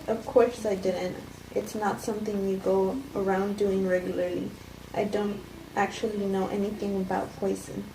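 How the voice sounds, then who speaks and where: A woman reading the part of a subdued, guarded wife in a flat, unpolished table read of a film script. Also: medium pitch, moderate pace, lightly acted. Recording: roomy, distant mic, clear background noise.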